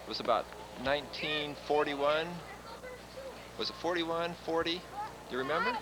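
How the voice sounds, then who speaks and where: A man's voice: on the high side, normal speed. Guy filming family stuff outside, talking over the camcorder, noisy old tape sound. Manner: narrates what he films and asks relatives questions. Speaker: family member filming a home video. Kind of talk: casual chat behind a camcorder. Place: outdoors at a family home. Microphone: camcorder mic. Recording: 1980s camcorder sound with background noise.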